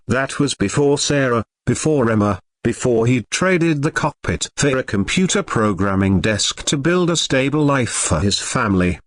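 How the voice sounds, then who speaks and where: A male storyteller delivers scripted drama with a solemn, suspenseful touch. His pitch moves widely through each sentence, and the sound is close and dry, free of room reflections. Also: dry recording. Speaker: story narrator.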